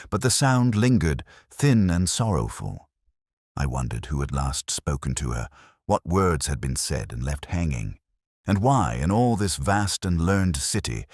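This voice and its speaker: A world-weary, cultured male inspector, played by an actor, narrates his own detective story in an extremely low voice. His delivery is measured and introspective, with melodic rises and falls, in a close, dry sound. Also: dry recording, full character voice. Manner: measured, brooding, reflective. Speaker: first-person narrator of a detective drama. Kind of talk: slow, literary scripted audio-drama narration.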